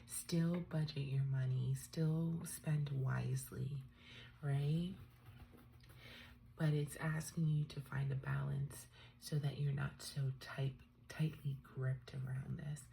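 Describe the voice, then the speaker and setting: A woman with a stuffed-up cold, doing a tarot reading in a soft, often half-whispered, musing way. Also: very deep voice, fairly even intonation, slow, creaky, slight background noise. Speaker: tarot reader. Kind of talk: unscripted tarot reading for viewers.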